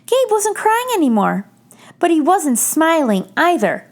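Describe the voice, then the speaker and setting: A woman hosting a read-aloud for young children, telling a picture book in an expressive storytelling style with deliberate phrasing. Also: very high-pitched, background noise. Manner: addresses young listeners directly, clear deliberate phrasing.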